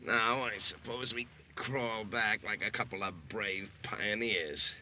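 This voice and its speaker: Low-voiced, grumbling tired GI. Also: male voice, steady tone, slow pace. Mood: weary sarcasm. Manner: dry, sarcastic, weary complaining. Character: grumbling, tired, sarcastic American GI sidekick. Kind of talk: 1940s radio drama acting. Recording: muffled vintage radio recording, faint noise.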